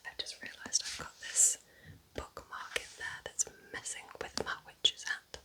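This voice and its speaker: A woman playing a kind, cosy forest witch in a whispered ASMR roleplay, breathy, gentle and chatty. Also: a little slow, lightly acted. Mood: gentle, welcoming. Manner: gentle, soothing, chatty, affectionate.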